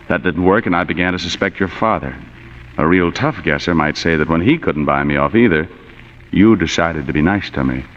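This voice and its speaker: Cynical gumshoe in 1940s radio drama. Deep, dry, hard-boiled delivery; dull, hissy vintage broadcast sound. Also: male voice. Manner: clipped, sardonic, hard-boiled slang. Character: cynical, wisecracking tough male private detective. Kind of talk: 1940s radio noir acting. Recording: muffled, noisy old radio broadcast.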